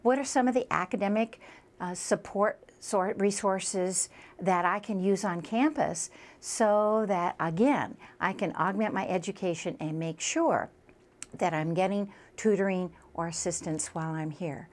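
A woman's voice: medium pitch, measured pace. A woman speaking as a college instructor, explaining a program in an emphatic, promotional way. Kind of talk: explanatory promotional talk about a class.

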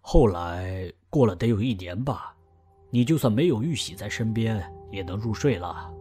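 Man voicing a family elder, lowish voice, measured, storytelling, audio drama. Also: animated, mellow, slightly rough. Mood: explaining. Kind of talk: fan audio drama acting.

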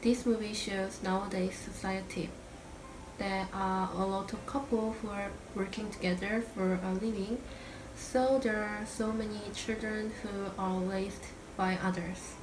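A woman's voice: medium pitch, steady tone, measured pace, roomy sound, background noise. A woman in her twenties from South Korea with a Korean accent, a student speaking English carefully and earnestly in an interview. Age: 20s.

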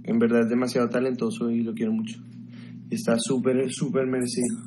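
A young man from Ecuador livestreaming from a small bedroom, talking casually and gossiping as he answers viewers' questions. His voice sits at an ordinary middle pitch for a man. The webcam mic picks up a clear hiss of background noise. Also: level tone, a little fast. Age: young adult. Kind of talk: casual chat with live viewers. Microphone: webcam mic at desk.

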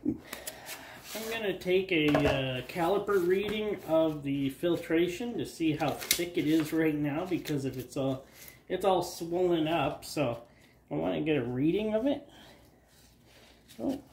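A woman's voice: sits low, background noise. A woman with a cold hosting a garage channel, chatting casually and rambling with fillers while cutting apart parts on an outdoor table. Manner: rambling, self-deprecating, halting with fillers. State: has a cold. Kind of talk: casual hands-on DIY narration.

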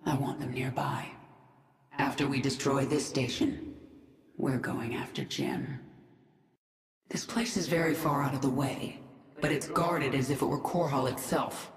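A woman's voice: a bass voice, unhurried, long pauses. A woman playing a cool, resolute alien-human swarm queen in a video game, controlled and authoritative. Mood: measured, commanding. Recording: echoing, long cavernous reverb.

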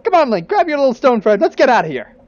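A man's voice: very high-pitched, big pitch swings, fast talker, no room sound, muffled recording, full character voice. A man voicing a greedy, cowardly, bossy sea captain in a cartoon parody, whiny and blustering.

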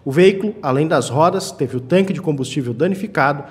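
A man's voice: fairly high pitch, fast talker. A man reading scripted news reports in voice-over. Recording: long reverb on voice-over.

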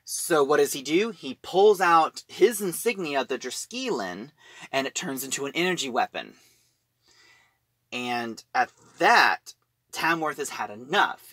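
A man giving a casual, informal story recap in an animated storytelling way with dramatic pauses. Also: medium pitch, no echo. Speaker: reviewer.

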